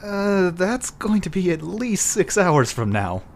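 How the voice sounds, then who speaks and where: A woman's voice: lowish voice, very animated, slow pace, a little hiss or hum, full character voice. A woman voicing a hapless, whiny airhead in an anime dub, flustered and complaining. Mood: resigned. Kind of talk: anime fan dub acting.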